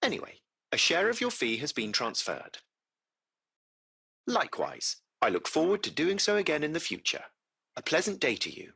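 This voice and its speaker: Crisp-toned, courteous AI taxi butler. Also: male voice, fairly high pitch, huge pitch swings, moderate pace, bright, forceful tone, heavily acted. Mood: grateful, courteous. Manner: formal, polite, precise phrasing. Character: courteous AI taxi-service machine, formal and butler-like. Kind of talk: scripted video game voice acting.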